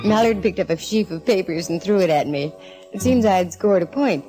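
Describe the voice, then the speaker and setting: An actress in a 1940s radio drama playing a breezy, self-assured private eye, with wry, slangy delivery. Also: female voice, fairly low pitch, a little fast, loud music behind the voice, close to an everyday voice, low-quality recording. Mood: wry narrating. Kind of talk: 1940s radio acting, wry first-person detective narration and dialogue.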